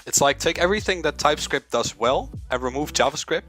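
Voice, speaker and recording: A man's voice: on the high side, animated, fast, a bit bright, faint music behind. A young man from the Netherlands with a Dutch accent, streaming a live coding session, rambling to himself and chatting with viewers. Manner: rambling, talks to himself, hums filler syllables, swears casually.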